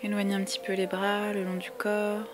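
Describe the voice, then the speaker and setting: A woman guiding a yoga relaxation meditation with calm, soothing, measured instructions and long pauses. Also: mid-range voice. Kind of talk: guided meditation, slow spoken body-scan instructions. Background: music.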